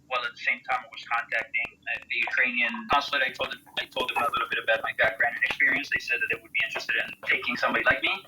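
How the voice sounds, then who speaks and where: A middle-aged American man from Texas recounting his experience in an interview in a measured, matter-of-fact way. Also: mid-range voice, noisy background. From Texas, United States. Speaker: interviewed military volunteer and veteran.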